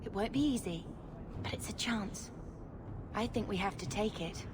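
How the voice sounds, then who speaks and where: A woman playing an earnest, cautious young sister of an adventurer in a video game, speaking in a measured way. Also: medium pitch, background noise, close to an everyday voice. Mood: earnest, worried. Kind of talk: video game character dialogue. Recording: music behind the voice.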